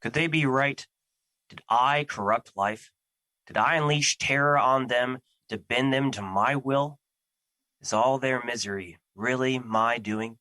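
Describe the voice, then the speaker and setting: A man's voice: medium pitch, measured pace, pushed and bright, no echo, poor audio. A man playing Fear as a personified emotion in an audio drama, troubled, defensive and duty-bound, with earnest, formal phrasing. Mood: anguished doubt.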